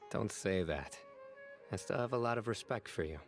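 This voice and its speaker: A composed young man from a video game, with a low voice that still moves expressively. His wit is dry and quietly teasing, and music plays faintly behind him. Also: animated, measured pace. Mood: fond, composed. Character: stoic, dryly witty young man, former student. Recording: game audio with background music.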